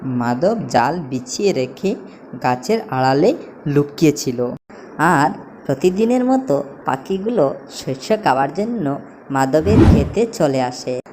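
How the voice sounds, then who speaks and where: A woman's voice: low-pitched, lively intonation, measured pace. A woman narrating a scripted children's story as a storyteller, over a noisy background. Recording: noticeable background noise, ambience.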